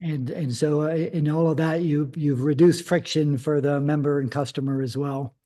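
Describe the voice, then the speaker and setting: A man hosts a live webinar, answering his guest with short approving remarks and now and then tripping over the start of a sentence. His voice sits a little high but sounds mellow and warm. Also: fairly even intonation. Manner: affirming, brief reactions, halting restarts. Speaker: host.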